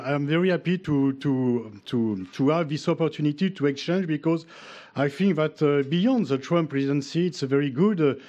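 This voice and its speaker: A man opening a conference session with halting formal remarks and many fillers. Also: fairly high pitch, a little mellow. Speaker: conference host.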